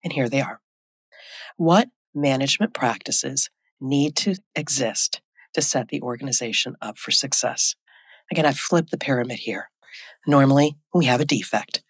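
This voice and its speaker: A woman's voice: extremely low pitch, husky, no room sound. A woman hosting a solo business podcast who is losing her voice. She coaches leaders in a direct way and asks many rhetorical questions. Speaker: podcast host and leadership consultant.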